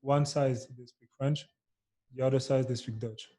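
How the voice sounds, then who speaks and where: A soft-spoken man in his thirties, from Congo and raised in Belgium, with Lingala as his first language. His voice is dark and mellow, and he pauses often on "you know" as he answers at an easy, mid-range pitch. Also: fairly even intonation. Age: 30s. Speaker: podcast guest, soccer player. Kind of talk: casual interview chat.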